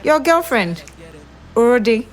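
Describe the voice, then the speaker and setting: Audio drama: playful young Nigerian female, animated intonation, needling manner, faint music. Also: fairly high pitch, measured pace. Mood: teasing. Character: playful, teasing young woman on a phone call.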